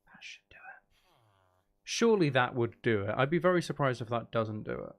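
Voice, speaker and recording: A man's voice: mid-range voice, expressive, moderate pace. A man doing casual live gameplay commentary, rambling and thinking aloud as he chats with viewers. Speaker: video game livestreamer.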